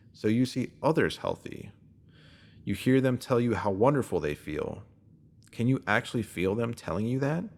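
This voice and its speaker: A man reading a scripted self-help spiritual piece as narrator, in a measured, instructive way that addresses the listener directly. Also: lowish voice.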